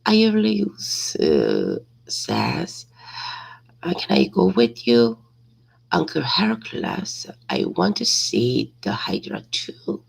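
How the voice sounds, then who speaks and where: A woman reading a simple story aloud as practice, hesitantly, repeating and correcting words, with a raspy, creaky voice. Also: a bass voice, huge pitch swings, takes it slowly, poor audio.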